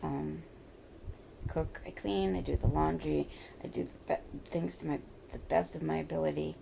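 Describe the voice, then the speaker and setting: A hoarse woman in her thirties talking at home in a halting, rambling vlog, full of fillers. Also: deep voice, fairly even intonation, warm tone, slight background noise, rough recording. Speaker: vlogger. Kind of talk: personal confessional vlog.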